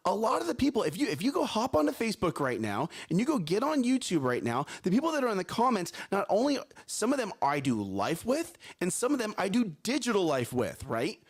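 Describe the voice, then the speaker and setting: A man's voice: high voice, huge pitch swings, fairly quick, hardly any pauses, breathy edge. A man co-hosting a live morning talk show, speaking in a strong, carrying voice. He talks energetically, repeats words and keeps checking in with "right?" and "okay?".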